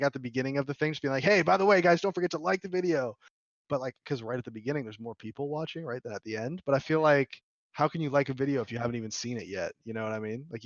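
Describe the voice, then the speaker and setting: A slightly hoarse man hosting a podcast in casual chat, chatty and laughing often. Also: medium pitch, no echo, lo-fi sound. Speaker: podcast host.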